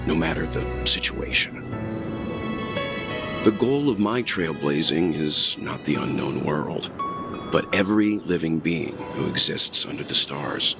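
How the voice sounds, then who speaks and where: Deep-voiced, earnest wise traveller. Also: male voice, lively intonation, over loud music, full character voice. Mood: earnest, reflective. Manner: measured, philosophical, earnest. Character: calm, earnest, wise male traveller far from home. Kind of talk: video game story acting.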